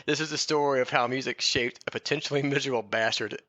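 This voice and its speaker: A man's voice: medium pitch, fairly even intonation, fast talker, sharp tone, dry recording, dull audio. A man in his thirties from Alabama, co-hosting a podcast in a casual, conversational way. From Alabama, United States. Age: 30s. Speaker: podcast co-host.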